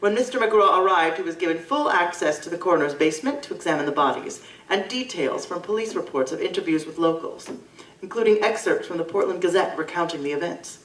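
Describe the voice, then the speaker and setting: A woman's voice: lowish voice, expressive, brisk, natural voice. A woman playing a prim Victorian chronicler, narrating an audio drama in florid, formal, dramatic language. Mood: grave, formal. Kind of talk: Victorian-style scripted narration. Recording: roomy live stage, distant mic.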